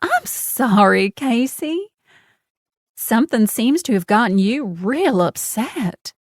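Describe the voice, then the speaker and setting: A woman's voice: a little high, sing-song, no room sound, studio quality, pitched above the performer's own voice, full character voice. A woman voicing a sweetly vicious Southern woman with a honey-coated drawl, cooing and mocking. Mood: syrupy mocking. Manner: syrupy, taunting, drawn-out words.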